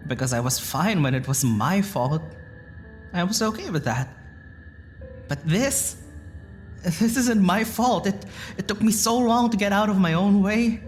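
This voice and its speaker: An actress playing a tender but angry woman full of regret in an audio drama, speaking haltingly and with emotion. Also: mid-range voice, animated, a little mellow, soft background music, natural voice. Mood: bitter regret. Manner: halting, emotional, sighing pauses.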